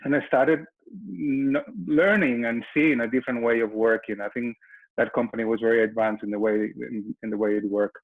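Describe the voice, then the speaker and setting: A man's voice: medium pitch, fairly even intonation, normal speed, rough recording. Spanish-accented man presenting a webinar. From Spain. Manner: frequent restarts and repeated words. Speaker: company founder presenting a webinar. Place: Melbourne.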